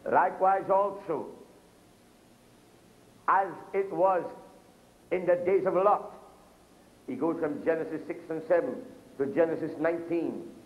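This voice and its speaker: A middle-aged man preaching a sermon at a pulpit, gesturing and calling out to the congregation. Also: on the high side. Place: church hall with curtains, speaking at a pulpit. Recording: echoey church room, dull lo-fi video sound.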